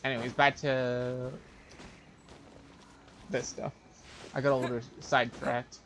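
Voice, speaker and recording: A man's voice: medium pitch, flat delivery, slow pace, vocal fry, a bit bright, a little hiss or hum. A man streaming a video game, thinking aloud in casual, offhand commentary. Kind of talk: casual live gameplay commentary.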